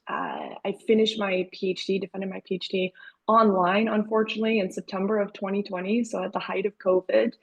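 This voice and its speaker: Gravelly, husky woman telling her story. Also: mid-range voice, poor audio. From Canada. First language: Dutch. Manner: warm storytelling, frequent fillers. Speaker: guest author. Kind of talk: webinar fireside chat.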